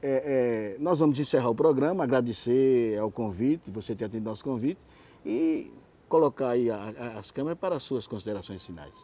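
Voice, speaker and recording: A man hosting a conversational TV interview in a halting, wandering way. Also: mid-range voice, lively intonation, dark tone. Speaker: TV interview host.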